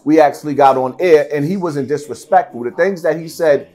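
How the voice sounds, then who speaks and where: A man's voice: fairly high pitch, fairly quick, mellow, soft background music. A middle-aged man giving blunt, emphatic interview answers, repeating phrases for stress. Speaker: podcast guest. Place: seated at table before branded backdrop, indoors.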